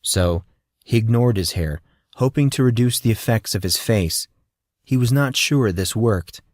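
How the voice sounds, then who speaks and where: A man's voice: on the low side, measured pace, dry recording, clean studio sound. A man narrating scripted fiction as an audiobook storyteller.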